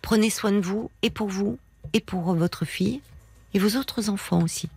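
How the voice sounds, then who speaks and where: A woman hosting a late-night radio call-in show, speaking gently and soothingly as she listens and sums up callers' stories. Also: mid-range voice, normal speed, creaky, breathy edge, no room sound. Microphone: studio microphone.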